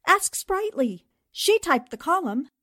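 An actress playing a spirited, indignant newspaper columnist in a theatrical 1940s radio-drama style. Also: female voice, very high voice, takes it slowly, exaggerated voice. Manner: expressive, theatrical. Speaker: actor in an audio drama.

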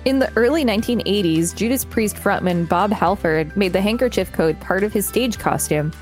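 A woman's voice: medium pitch, moderate pace, a little mellow, faint music behind. Woman reading aloud as podcast co-host. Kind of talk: reading an article aloud with casual asides.

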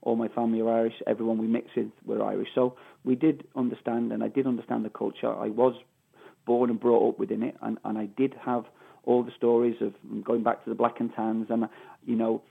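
Man, Mancunian accent, middle-aged, emphatic, husky, tinny phone line. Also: medium pitch, level tone, a little fast. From England, Manchester. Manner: emphatic, repeats phrases for stress. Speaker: guest, former footballer. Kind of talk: interview answer. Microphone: phone call.

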